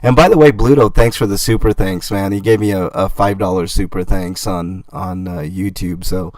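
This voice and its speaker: A man hosting a casual livestream, reading viewer comments and answering in a halting way with many fillers and restarts. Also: sits low, fairly even intonation, darkish tone, no room sound.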